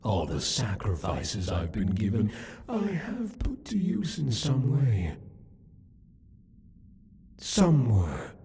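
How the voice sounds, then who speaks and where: A man playing a cruel, possessive demon king in an ASMR roleplay, speaking to the listener in a breathy, taunting, condescending way. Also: fairly low pitch, dark tone, slight background noise, exaggerated voice. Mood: cold, haughty. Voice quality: breathy, husky. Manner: taunting, condescending, deliberate, theatrical.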